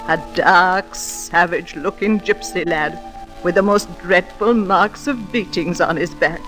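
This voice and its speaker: Grave old housekeeper, weary n measured, old radio play w music under it. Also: female voice, fairly low pitch, fairly bright tone, low-quality recording. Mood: solemn, reminiscing. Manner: deferential, solemn, measured. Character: weary, grave old woman housekeeper telling a tragic tale. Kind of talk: 1940s radio acting.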